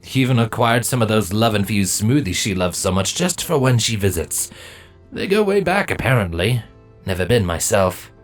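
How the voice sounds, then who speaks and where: A man's voice: lowish voice, very animated, moderate pace. A man voicing an easygoing, joking changeling father in a fantasy story. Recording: music behind the voice.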